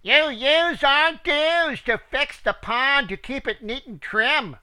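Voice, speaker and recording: A man voicing a flock of demanding, indignant hens in a rhyming story, shrill and insistent. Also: takes it slowly, sharp tone, heavily acted.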